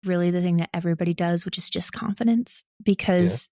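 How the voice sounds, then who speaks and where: A woman's voice: lowish voice, fairly quick. A woman guest speaking casually and candidly in an interview, often saying "like" and acting out her own thoughts. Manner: candid, animated self-quoting, frequent "like". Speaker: podcast guest, audio drama creator.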